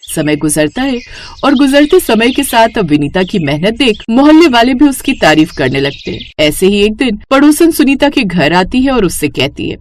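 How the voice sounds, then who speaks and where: A woman's voice: a little high, sing-song, moderate pace. A woman reading scripted story narration as the narrator, with faint noise in the recording.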